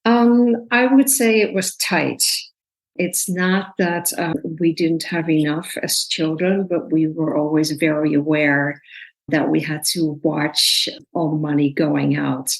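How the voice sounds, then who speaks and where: A woman in her sixties from the Netherlands, a guest talking thoughtfully and warmly in a podcast interview, with easy laughs. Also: deep voice, steady tone, gravelly, poor audio. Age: 60s. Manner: thoughtful, measured, laughs easily. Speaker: guest, personal trainer. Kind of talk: casual interview talk.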